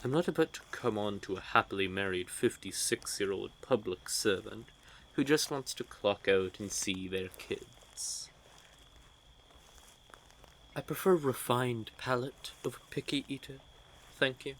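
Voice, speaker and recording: Slow, teasing low-voiced vampire played by a man. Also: expressive, a bit bright, slight background noise, rough recording. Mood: mock offended. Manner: teasing, drawling, sardonic. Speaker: voice actor in an audio roleplay. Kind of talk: intimate scripted audio roleplay addressed to the listener. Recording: added ambient sound effects behind voice.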